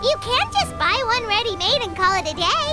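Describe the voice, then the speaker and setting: A tiny fairy sidekick from an anime game, with a very high, squeaky, childlike voice. The delivery swoops about, chirpy and bossy, prone to whining. Prominent music plays behind. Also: female voice, sing-song, a little fast. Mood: chirpy, curious. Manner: speaks of self in third person, exclamatory.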